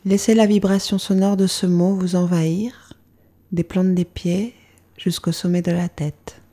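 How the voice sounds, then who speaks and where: Slow, mellow woman guiding meditation. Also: fairly low pitch, little pitch movement. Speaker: yoga nidra meditation instructor. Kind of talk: guided meditation narration.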